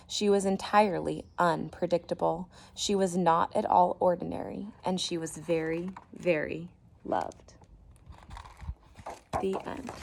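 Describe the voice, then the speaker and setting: A woman's voice: on the low side, gravelly, darkish tone, a little hiss or hum. A woman reading a picture book aloud as a teacher would, expressively, with casual asides to the listeners.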